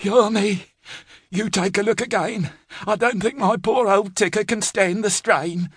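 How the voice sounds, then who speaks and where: A man's voice: high-pitched, measured pace, slightly rough, no echo, studio quality, pitched above the performer's own voice. A man reading an audiobook, voicing a frantic, melodramatic woman who runs an orphanage laundry and frets and wails. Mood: fretful. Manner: melodramatic, anxious, wailing.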